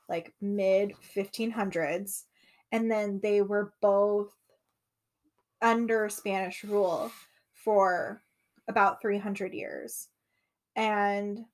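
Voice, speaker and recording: A young woman co-hosting a casual talk, chatty and easygoing. She fills her sentences with "like" and "um" as she thinks aloud, speaking at a middling pitch. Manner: chatty, lots of "like" fillers. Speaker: podcast co-host. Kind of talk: casual conversation.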